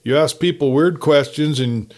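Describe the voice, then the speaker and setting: A man's voice: on the high side, moderate pace, a little mellow, voice pushed up, natural voice. A man voicing an exasperated, plain-spoken fishing buddy who grumbles and needles his friend in a story.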